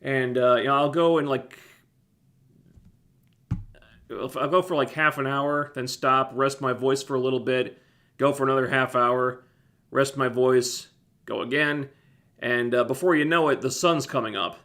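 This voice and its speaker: A man with a slightly scratchy, phlegmy throat chats with viewers on a livestream. His pitch sits in the middle for a man, and he talks in an easygoing, meandering way, often starting a sentence over. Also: normal speed. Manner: relaxed, rambling, self-interrupting. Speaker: livestream host reviewing TV shows. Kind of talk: casual livestream chat answering viewers.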